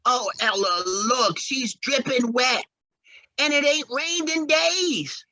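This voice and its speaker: A woman playing a simple, stubborn young husband devoted to his mama in an old-time radio horror play, naive, folksy and excitable. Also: high-pitched, takes it slowly, a little hiss or hum, heavily acted. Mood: anxious, fretful.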